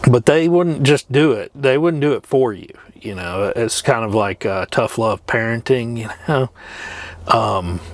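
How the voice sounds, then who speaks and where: A middle-aged man with a fairly low voice talks casually to his viewers as a truck driver would. He is plain-spoken and rambles along, pausing and trailing off as he thinks. It sounds like an unscripted vlog from the cab.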